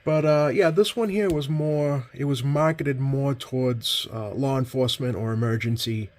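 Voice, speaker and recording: A man's voice: mid-range voice, normal speed, a little mellow. A man giving a casual, hands-on product demonstration as a host, hesitant and rambling, often correcting himself. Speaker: host, CB radio collector. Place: indoors at a workbench.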